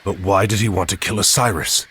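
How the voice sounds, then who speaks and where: A man's voice: low-pitched, steady tone, a little fast, bright, forceful tone, a little hiss or hum. An actor playing an earnest, commanding heroic admiral, a man, in a video game cutscene. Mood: defiant.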